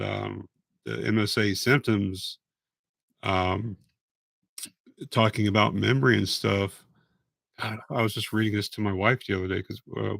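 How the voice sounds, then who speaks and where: A man going live on a casual stream, hoarse and raspy, talking in a rambling, halting way with frequent restarts. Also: lowish voice, a bit bright, dry recording. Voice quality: raspy, voice fading. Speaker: patient vlogger going live.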